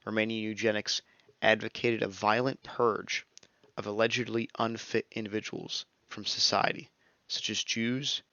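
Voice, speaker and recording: A young man narrating a scripted history lesson in a steady, measured reading voice, as a close voice-over. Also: fairly low pitch, no echo. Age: young adult. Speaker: student narrator. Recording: dull lo-fi dry recording.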